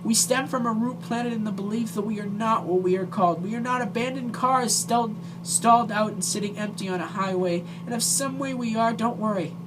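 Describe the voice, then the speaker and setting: Amateur vlogger, mid-pitched voice, heartfelt and halting delivery, clear noise on a homemade recording. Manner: earnest, emotional, hesitant. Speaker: amateur video creator. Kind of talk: earnest direct-to-viewer vlog talk.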